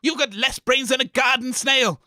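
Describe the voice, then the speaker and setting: A man playing a scornful, exasperated, sharp-tongued radio co-host in an absurd comedy. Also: extremely high pitch, fairly even intonation, normal speed, no echo, clean studio sound, full character voice. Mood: scornful anger.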